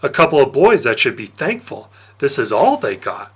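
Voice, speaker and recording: A man narrating a novel aloud in an expressive storytelling style. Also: medium pitch, moderate pace, slightly rough.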